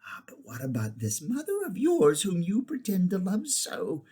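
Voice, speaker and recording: A man's voice: sits high, wildly swooping pitch, moderate pace, dark tone, breathy edge, voice pushed up, exaggerated voice. A man voicing a proud, scornful witch queen in a storybook, with a grand, commanding, coaxing manner. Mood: pressing, coaxing.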